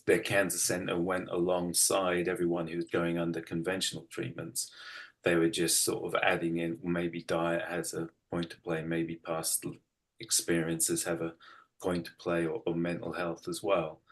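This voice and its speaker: A middle-aged Englishman with a very low voice talks reflectively about his own life. His delivery is conversational and thoughtful, halting as he searches for a phrase and then rewords it. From United Kingdom. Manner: halting, thoughtful, circles back to rephrase. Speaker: interview guest, writer. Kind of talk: reflective personal storytelling in conversation.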